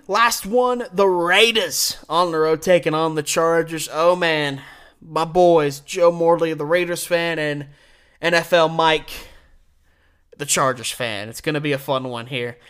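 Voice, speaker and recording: Higher-pitched man hosting a sports show. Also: a bit bright. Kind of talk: live sports commentary with game picks.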